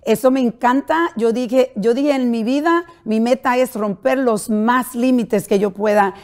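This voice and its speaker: A middle-aged woman hosting a talk show, speaking deliberately. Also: a little high, a little mellow. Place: talk show studio set.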